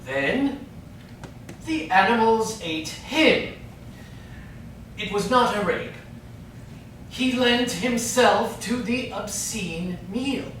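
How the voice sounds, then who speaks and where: A woman's voice: a little high, lively intonation, drawn-out words, pauses often. A woman performing a solemn chorus voice in an absurdist play, reciting theatrically on stage. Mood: grave, deliberate. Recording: very echoey distant stage, noisy.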